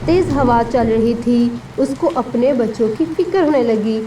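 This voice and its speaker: Lady narrator for a kids story, high pitched, rain sound in back. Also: measured pace. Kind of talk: scripted children's story narration. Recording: distant voice with steady rain noise.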